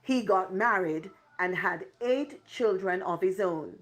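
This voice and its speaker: A woman's voice: medium pitch, slow pace. A woman giving formal scripted narration for a news report. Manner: formal, even news delivery.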